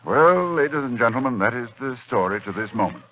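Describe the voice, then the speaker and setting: An actor playing an urgent, dread-filled scholarly doctor in a dramatic 1940s radio horror play. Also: male voice, mid-range voice, lively intonation, fairly quick, a little hiss or hum, lightly acted. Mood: grim dread. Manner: dramatic, intense delivery. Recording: muffled 1940s radio recording, music behind.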